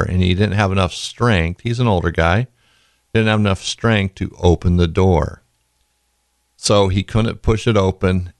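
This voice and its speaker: A man with a very low voice, full of fry and rasp from a lingering illness. He talks in a loose, rambling way, drifting onto side stories. The recording is dry and close. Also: dry recording. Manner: rambling, folksy, goes off on tangents. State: raspy from illness, tired. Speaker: podcast host. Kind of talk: casual podcast talk.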